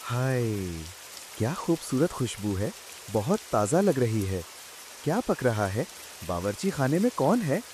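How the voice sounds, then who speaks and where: Fussy, animated village son-in-law. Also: male voice, medium pitch, unhurried. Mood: curious, tempted. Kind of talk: comic cartoon story dialogue. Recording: faint hiss with rain sounds.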